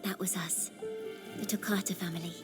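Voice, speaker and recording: An actress playing an earnest, poetic young woman in a video game, telling her story with gentle, solemn theatricality. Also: fairly low pitch, fairly even intonation, fairly quick, natural voice. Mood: earnest storytelling. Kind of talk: dramatic video game narration. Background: clear background music.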